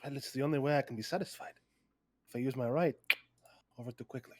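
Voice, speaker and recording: An actor playing a proud, calm swordsman henchman with a measured manner in a live script read. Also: medium pitch, no room sound, clean studio sound, lightly acted.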